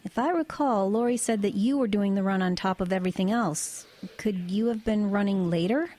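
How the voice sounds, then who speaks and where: A woman's voice: medium pitch, a little fast, warm tone, close to an everyday voice. A woman playing a level-headed truck driver in an eerie audio drama, talking in an everyday conversational way. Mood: casual, evasive. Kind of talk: audio drama dialogue and narration.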